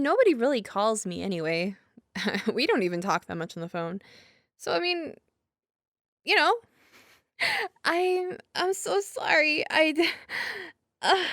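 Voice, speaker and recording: A young woman with a fairly high voice speaks in a dry, close recording. She plays a girlfriend in an intimate, casual monologue. Her delivery rambles, giggly and self-deprecating, with a hesitant edge. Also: a bit bright, no echo. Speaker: audio roleplay performer as girlfriend.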